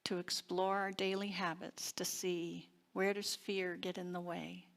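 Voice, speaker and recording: Slow-speaking woman minister preaching. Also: on the low side, flat delivery, creaky, a bit bright. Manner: deliberate, reverent, with long pauses. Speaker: minister leading a service. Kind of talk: sermon and guided prayer. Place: church service.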